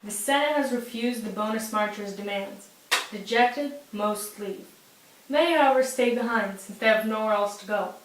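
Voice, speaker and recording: A woman's voice: on the high side, normal speed. Young woman reading news script, echoey room, little bit of hiss. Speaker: news anchor in an amateur newscast. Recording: roomy echo with faint hiss.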